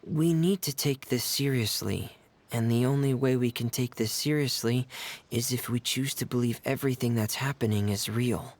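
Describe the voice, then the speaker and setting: Man playing a gravelly, solemn game character. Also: medium pitch, normal speed, a little mellow, lightly acted. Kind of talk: video game voice acting.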